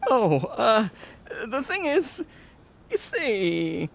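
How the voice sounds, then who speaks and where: An actor voicing a flustered, stammering young gang underling in a video game, excitable and cowardly. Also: male voice, extremely high pitch, extremely slow, vocal fry, a little hiss or hum, heavily acted. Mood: nervous, sheepish. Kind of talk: English video game dub acting.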